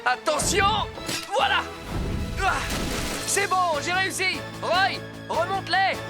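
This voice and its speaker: Cartoon rescue vehicle: high, eager, earnest, exclamatory lines over loud music. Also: female voice, takes it slowly. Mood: excited effort. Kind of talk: dubbed children's cartoon acting.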